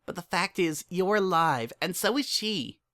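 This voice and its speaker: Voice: medium pitch, animated, a little slow, fairly bright tone, voice pushed up. A narrator voicing a young pony mare, a hurt but hopeful daughter, emotional and pleading, in a dramatic story reading. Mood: earnest, hopeful.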